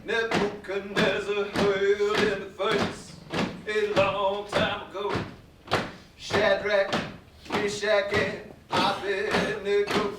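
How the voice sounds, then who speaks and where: A woman on stage telling a story in a gospel performance, with deliberate, dramatic phrasing. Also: mid-range voice, monotone, very noisy. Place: live staged performance in a reverberant room. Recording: echoey hall, distant mic, music.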